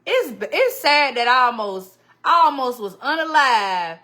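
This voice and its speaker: Woman livestream host, slightly high and sharp-toned, loose chatty delivery. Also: a little slow. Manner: chatty and animated, greets viewers by name and laughs often. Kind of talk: casual livestream chat with viewers.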